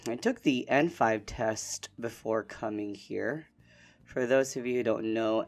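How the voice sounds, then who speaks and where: A young man from the Philippines hosting a casual solo podcast, relaxed and rambling with lots of fillers. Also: fairly low pitch. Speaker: podcast host.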